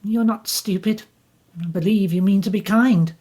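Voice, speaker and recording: Passionate bitter young woman, pushes every word, kinda husky, woman doing her. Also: mid-range voice, moderate pace, dark tone, voice pushed up, lightly acted. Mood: impassioned. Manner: intense, pleading, emphatic.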